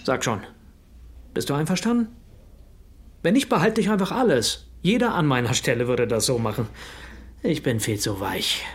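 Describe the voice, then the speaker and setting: An actor playing a bullying, greedy business partner in a German radio drama, brash and contemptuous, with bitter self-pity. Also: male voice, medium pitch, animated, normal speed, pauses often, slightly rough. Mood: bitter, demanding.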